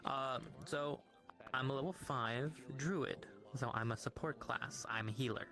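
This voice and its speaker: A man's voice: mid-range voice, measured pace, creaky, pushed and bright. Man in casual roleplay chat over music. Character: foxtail druid healer pulled into a game world. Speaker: roleplayer in a virtual reality game stream. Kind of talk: casual in-character improvised chat.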